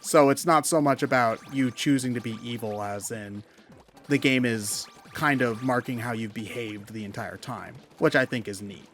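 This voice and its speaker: A man chats as co-host of a gaming commentary. His delivery is casual and opinionated, building into animated rants with loose, casual swearing. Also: mid-range voice, normal speed. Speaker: co-host of a video game commentary show.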